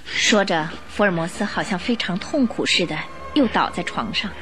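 A woman narrating a scripted radio drama in an expressive storytelling style. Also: fairly low pitch, normal speed. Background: music.

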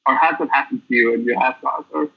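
A man being interviewed as a guest, telling stories in a rambling, halting way. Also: mid-range voice, gravelly, slightly rough. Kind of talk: casual interview chat. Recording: tinny phone-line audio.

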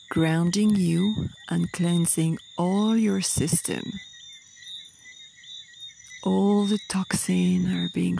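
Slow, low-pitched woman guiding meditation. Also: creaky, warm tone, slight background noise. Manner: soothing, unhurried, with short phrases and long pauses. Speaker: guided meditation leader. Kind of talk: slow guided meditation narration.

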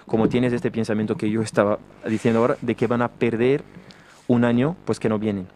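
A young man from Brazil, his first tongue, hosting a relaxed conversation in Spanish and often restarting his sentences. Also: medium pitch, fairly quick, background noise. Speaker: host. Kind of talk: casual conversation.

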